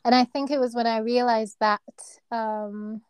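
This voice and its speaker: A soft-voiced woman in her thirties with a Kenyan accent, speaking a little high for a woman. She talks in a loose, chatty way, laughs easily and stops to hunt for words. The sound is dry and close, with no room around it. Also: little pitch movement, moderate pace, smooth, no room sound. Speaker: guest, neurosurgery registrar. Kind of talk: casual interview chat.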